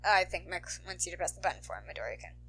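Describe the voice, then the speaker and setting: A weary, dry-humored man from a storybook, put on by a woman reader. The voice drops below her natural pitch. He is terse, muted and low-key. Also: very animated, rapid-fire, creaky, bright, forceful tone, breathy edge, soft background music, full character voice. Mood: dry, unhurried. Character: dry, weary, low-key adult male teacher hero.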